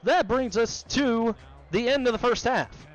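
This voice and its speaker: A man calling play-by-play for a simulated football game, brisk and excitable, joking about his own voice. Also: very high-pitched, highs cut off, soft background music. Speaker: play-by-play commentator. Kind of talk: play-by-play sports commentary.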